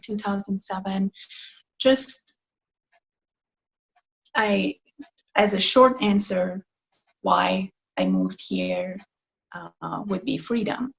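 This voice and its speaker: A woman from Iran, a nurse, being interviewed over a video call and answering slowly and thoughtfully, with pauses and fillers. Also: mid-range voice, creaky, dull audio.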